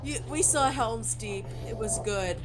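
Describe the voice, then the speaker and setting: A woman's voice: on the high side, a little slow, over music. A woman chatting and joking playfully as a movie reaction host. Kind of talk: casual chat commentary.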